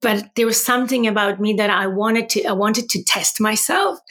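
Brief: Polish woman, 40s, podcast guest, gravelly and a bit husky, earnest storyteller. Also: medium pitch, moderate pace. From Poland. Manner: earnest, animated storytelling with tag questions. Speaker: wellbeing coach, podcast guest. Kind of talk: conversational interview.

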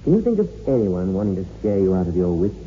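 An actor playing a brisk, rational psychic researcher in a 1960s radio horror play, matter-of-fact and inquisitive. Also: male voice, low-pitched, very animated. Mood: sceptical, firm. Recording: dull, faintly noisy old radio recording.

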